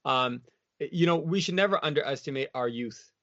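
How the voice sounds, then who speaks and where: A man's voice: mid-range voice, brisk, fairly bright tone, dry recording. A man talking as an interview guest, in a loose, rambling way full of "you know" and "like". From United States. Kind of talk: casual reflective interview talk. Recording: dull lo-fi dry sound.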